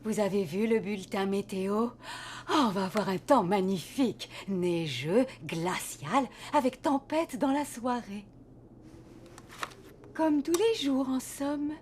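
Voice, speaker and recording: A woman's voice: mid-range voice, unhurried, darkish tone, a little hiss or hum, lightly acted. An actress playing a kindly, flustered motherly housekeeper in a French cartoon, fussy and hesitant as she chatters. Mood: forced cheer. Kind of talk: French animated series dub.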